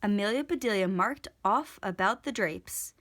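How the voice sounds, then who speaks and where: A woman reading a storybook aloud for children in an expressive, deliberate storytelling manner. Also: mid-range voice. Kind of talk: scripted storybook read-aloud for kids.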